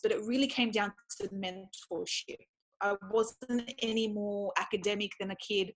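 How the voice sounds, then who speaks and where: A woman with an Australian accent, talking as a podcast guest in an enthusiastic, chatty and casual way. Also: medium pitch. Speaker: podcast guest, speaker and former PE teacher. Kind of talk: casual conversational interview.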